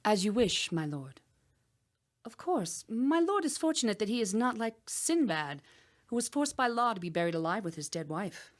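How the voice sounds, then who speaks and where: A woman's voice: mid-range voice, big pitch swings, natural voice. An actress playing a clever, composed queen, deferential and soothing as she spins tales in an audio drama. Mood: artful storytelling. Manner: soothing, deferential, measured storytelling. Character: composed, clever young queen spinning tales to calm her lord.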